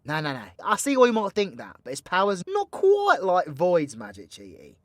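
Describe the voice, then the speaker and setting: A cocky British supervillain, acted by a man in an audio drama, close and dry. His slightly high voice swings widely as he schemes and teases with theatrical, sweary slang. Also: wildly swooping pitch, normal speed, no echo. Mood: cheeky, instructive. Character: cocky, foul-mouthed male supervillain plotting mayhem, teasingly mentoring ally. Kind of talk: villain roleplay audio drama, intimate monologue to listener.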